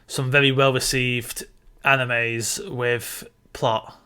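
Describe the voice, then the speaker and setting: Bright, forceful man discussing films. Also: medium pitch, little pitch movement, takes it slowly, clear, resonant tone. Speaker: podcast co-host. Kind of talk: casual film discussion.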